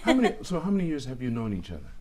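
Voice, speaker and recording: A man hosting a podcast interview, asking quick, curious questions. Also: medium pitch, wildly swooping pitch, over a noisy crowd. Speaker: podcast host.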